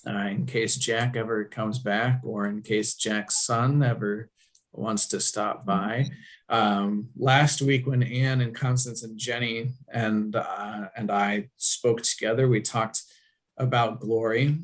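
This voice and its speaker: A man leads a thoughtful book discussion at an unhurried, slow pace. His phrasing is hesitant, feeling its way toward each point. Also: mid-range voice.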